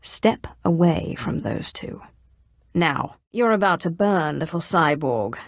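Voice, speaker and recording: An actress playing an imperious, menacing Sith sorceress risen from slavery in a video game, with a haughty, commanding delivery. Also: female voice, sits low, lively intonation, slow, creaky, dry recording, clean studio sound, full character voice. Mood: threatening, disdainful. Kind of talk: scripted game dialogue.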